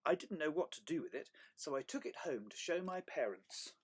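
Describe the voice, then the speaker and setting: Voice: mid-range voice, animated, a little fast, breathy edge, pitched above the performer's own voice. A storyteller voicing a friendly, curious young dragon telling its own story, earnest and wide-eyed, with dramatic emphasis. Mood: playful.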